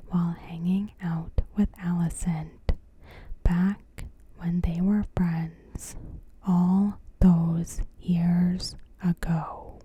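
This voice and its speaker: A woman narrates in a hushed ASMR whisper, extremely slow and careful. Her voice is very soft and mellow, full of breath, as she reads a scripted recap without any hurry. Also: on the low side, breathy edge, poor audio. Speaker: ASMR creator.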